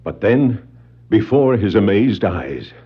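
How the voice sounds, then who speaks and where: A man narrating a scripted superhero drama in 1960s radio style, suspenseful, with deliberate dramatic pauses. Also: on the low side, big pitch swings, heavy background noise. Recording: dull 1960s vinyl record sound.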